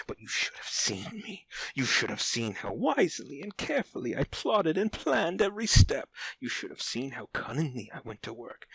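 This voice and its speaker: An actor playing an obsessed, unhinged murderer in an audio drama horror monologue, theatrical, moving between breathy hush and sudden outbursts. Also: male voice, fairly high pitch, heavily acted. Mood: manic, boastful. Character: obsessed, unhinged murderer confessing his careful crime.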